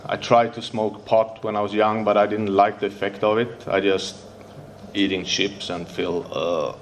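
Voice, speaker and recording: Low-voiced Swedish man, nervous, halting non-native English, faint noise behind. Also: little pitch movement. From Sweden. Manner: halting, searching for English words, many fillers and restarts. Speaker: speaker sharing recovery story. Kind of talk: recovery meeting share.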